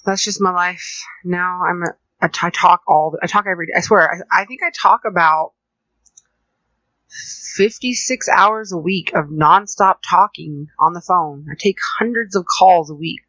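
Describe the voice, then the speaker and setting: A woman's voice: lowish voice, no echo, muffled recording. Chatty woman with a sore voice. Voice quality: chatty, rapid, rambling and animated. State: voice sore from phone work. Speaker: podcast co-host. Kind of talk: casual podcast chat.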